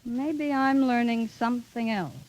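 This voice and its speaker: Actress as a high-voiced, earnest young drama pupil. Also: female voice, fairly even intonation, unhurried, darkish tone, natural voice, rough recording. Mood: coy, probing. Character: anxious young woman, stubborn, sweetly earnest acting pupil. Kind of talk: mid-century radio drama acting.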